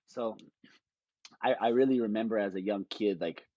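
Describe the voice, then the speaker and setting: A man's voice: lowish voice, normal speed, no echo, dull audio. A man, telling stories in a rambling, easygoing way as a podcast guest. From Hawaii. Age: late 20s. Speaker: guest, jiu-jitsu fighter. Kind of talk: casual podcast conversation.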